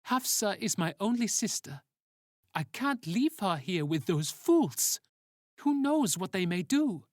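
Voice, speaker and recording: A rebellious teenage boy in an audio story as a narrator acts him out, his voice pushed well up in pitch. He pleads earnestly and insistently, with lively swings and a close, dry sound. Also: a little slow, no echo. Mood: pleading.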